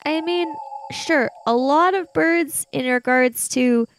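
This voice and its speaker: A woman's voice: high-pitched, slow, slight background noise, voice pushed up. A woman playing a bookish, argumentative schoolgirl in an improvised tabletop adventure, wordy and pedantic. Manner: pedantic, wordy, indignant when teased.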